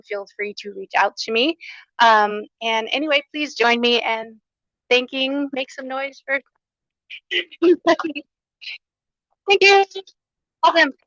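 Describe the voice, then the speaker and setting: A woman with a fairly high, loud voice hosts a conference, running through welcomes and housekeeping with eager enthusiasm. She speaks without a microphone in a small room. The sound is dry and a little dull. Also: dry recording. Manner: upbeat, enthusiastic, loud unamplified voice. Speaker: conference coordinator and host. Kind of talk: welcoming remarks and housekeeping announcements. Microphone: no microphone, room recording.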